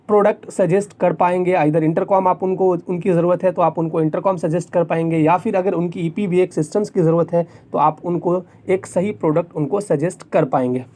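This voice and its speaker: A man's voice: high voice, mellow. Man, Indian accent, tutorial presenter, step-by-step explanatory delivery, repeats key points. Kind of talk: explanatory tutorial, semi-scripted.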